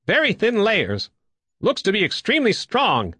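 A man reading an audiobook and voicing a brisk, excitable, blunt and curious pilot with emphatic delivery. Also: fairly high pitch, slightly rough, dry recording, voice pushed up, exaggerated voice. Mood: impressed. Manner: exclamatory, emphatic. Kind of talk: audiobook character dialogue.

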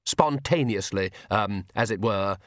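A man voicing an earnest, politely cheerful priest who speaks haltingly and deferentially in an audiobook. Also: lowish voice, fairly bright tone, no echo, studio quality. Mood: calm, polite. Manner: hesitant, deferential, halting with ums. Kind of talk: audiobook character dialogue.

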